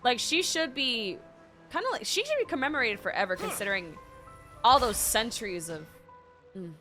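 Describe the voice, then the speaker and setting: A woman streamer giving casual, expressive and gushing reactions while playing a game. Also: a little high, moderate pace. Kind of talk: casual gaming reaction commentary. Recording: game music behind.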